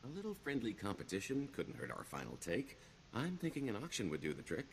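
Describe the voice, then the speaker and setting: A man's voice: on the low side, wildly swooping pitch, fairly quick, a little hiss or hum. A man playing a roguish middle-aged career criminal in a video game, wry and boastful, with a wheedling, theatrical sarcasm. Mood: scheming.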